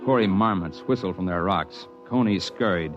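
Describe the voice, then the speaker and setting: An actor playing a steady, hearty mountain climber who tells his own tale in a 1950s radio drama. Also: sits low, normal speed, over music, lightly acted. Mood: measured, reflective. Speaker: narrator and character. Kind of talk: 1950s radio drama, first-person narration and dialogue. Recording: dull band-limited 1950s radio recording.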